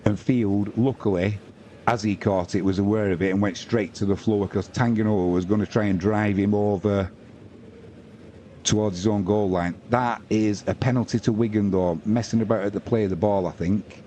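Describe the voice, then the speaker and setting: Low, bright-toned but slightly muted male sports commentator. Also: fairly even intonation, normal speed, slight background noise. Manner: informal, matey, chatty. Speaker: sports livestream commentator and host. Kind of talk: live rugby league play-by-play commentary with casual asides to chat.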